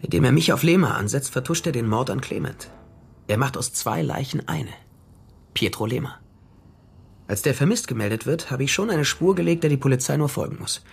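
An actor playing a dogged male private detective in a 1930s crime radio drama, explaining things in a deliberate, matter-of-fact way. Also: medium pitch, lively intonation, creaky, breathy edge. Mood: certain, explaining. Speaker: detective and first-person narrator. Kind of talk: German radio crime drama acting and narration. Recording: studio radio drama with music underneath.

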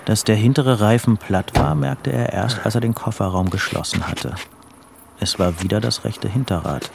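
Low creaky guy narrating a radio drama, background sound behind him. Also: normal speed, a little hiss or hum. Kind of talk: scripted literary narration in an audio drama.

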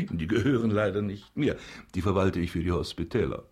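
A man's voice: low voice, expressive, normal speed, a little mellow, slightly rough. An actor playing a pompous, calculating banker and father in a radio drama, with a businesslike manner. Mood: frank, scheming.

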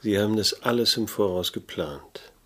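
A man's voice: fairly low pitch, moderate pace, a bit bright, no room sound. An actor playing a disillusioned, wry male private detective in a noir radio drama, speaking in a dry, laconic manner. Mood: questioning, bitter. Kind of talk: noir radio drama, first-person narration.

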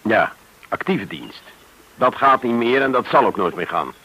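Wry retired officer, lively intonation. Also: male voice, medium pitch, unhurried, breathy edge, full character voice. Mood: bitter, wry. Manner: dry, probing, formally polite. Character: wry, sharp, retired army officer invalided out of service. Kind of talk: radio play acting. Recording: noisy old radio play recording.

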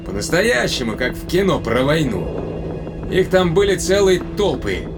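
A man playing an excitable, anxious spaceship captain in a science-fiction audio drama, talking in an emphatic, colloquial way. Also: a little high, unhurried, music in the background, voice pushed up. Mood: animated, chatty.

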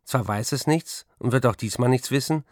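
An actor playing a reflective writer who recalls his bookish childhood in measured, literary phrases for a radio play. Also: mid-range voice, darkish tone, no room sound, studio quality, lightly acted. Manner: measured, literary first-person recollection.

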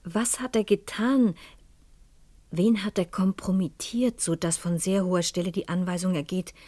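Actress as composed melancholy lady, low female voice, dry studio sound, formal, deliberate, probing, reflective radio drama. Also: fairly even intonation, mellow, no echo, natural voice. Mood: anxious, brooding. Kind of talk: German radio play acting with reflective narration.

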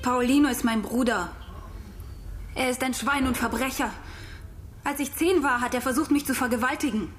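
A woman's voice: high voice, normal speed, long pauses, a little hiss or hum, close to an everyday voice. A woman acting a bitter, defensive woman with a hard past in a radio crime drama, speaking bluntly.

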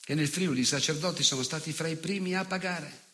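An actor playing a cruel, bitter, nostalgic old fascist in a theatrical monologue, speaking with sardonic, obsessive emphasis. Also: male voice, on the high side, fairly quick, sharp tone. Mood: cold gloating. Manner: emphatic, obsessive, sardonic recitation with repeated words.

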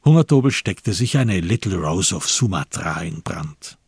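A man reading literary narration for a radio play in a measured storytelling manner. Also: deep voice, very animated, slightly rough, dry recording, studio quality.

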